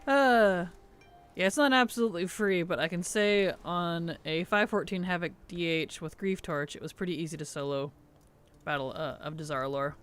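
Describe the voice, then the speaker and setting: A streamer chatting casually while playing a game and answering chat, speaking around a cough drop with a slightly rough, sensitive throat. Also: mid-range voice, normal speed, a bit bright, faint music behind.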